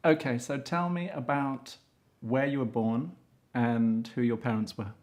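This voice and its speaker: A man in a relaxed interview, talking in a chatty way and often cutting in with his own remarks. His voice sits at an ordinary middle pitch for a man, and his easy laugh comes through often. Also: a little slow. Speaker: interviewer and show host. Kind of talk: casual interview chat.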